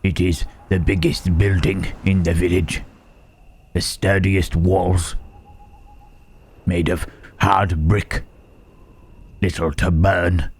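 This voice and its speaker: Man acting wary gentle creature, very slow, low-pitched, hushed, halting, old-fashioned wording, intimate ASMR roleplay. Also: level tone, husky, full character voice. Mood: thoughtful, grave. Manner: halting, formal archaic phrasing, questioning "huh".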